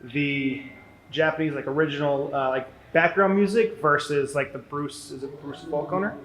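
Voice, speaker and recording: A man in the audience asking a hesitant, halting question at a convention panel. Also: on the high side, fairly even intonation, a bit bright. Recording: echoey room from far off.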